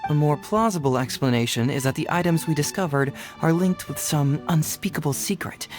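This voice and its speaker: A man acting a young male game detective with an even, articulate delivery. He reasons things out deliberately, with cheerful confidence and a free spirit. Soft music plays underneath. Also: on the high side, natural voice. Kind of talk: video game character dialogue.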